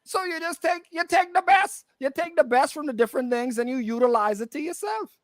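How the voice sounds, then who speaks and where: Male radio co-host. High-pitched, casual, halting as he restates points, in a dry studio. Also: lively intonation, a bit bright, no echo. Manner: halting, repeats and rephrases his points. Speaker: radio talk show co-host.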